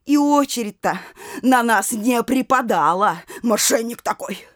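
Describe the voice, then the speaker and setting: Casting: a high-voiced working townswoman in stage comedy, loud, weepy complainer with a mouth full of curses. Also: lively intonation, a little slow. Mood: aggrieved, cursing. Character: wailing, cursing locksmith's wife, a townswoman petitioner. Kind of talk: theatrical audio drama comedy acting.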